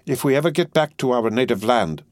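Male reader as distraught gentlewoman: higher pitch, earnest pleading, dry sound. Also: lively intonation, a little fast, no room sound, lightly acted. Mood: anxious. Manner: wild, breathless distress. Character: agitated, tearful English lady companion.

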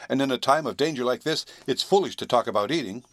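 A man's voice: medium pitch, quick pace, no room sound, close to an everyday voice. A man voicing a grave, somewhat nervous young boy in a storybook reading.

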